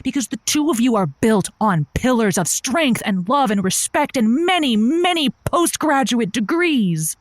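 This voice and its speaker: A woman's voice: a little high, expressive, a little slow, hardly any pauses, no room sound, studio quality. A woman playing a chatty radio call-in host in an audio comedy drama, a coaxing, exasperated peacemaker with an emphatic way of talking. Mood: encouraging.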